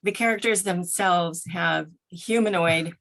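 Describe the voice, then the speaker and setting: Female interview guest, lower pitch with noticeable vocal fry, methodical and conversational. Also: a little slow, a bit bright. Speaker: voice actor and audiobook director, podcast guest.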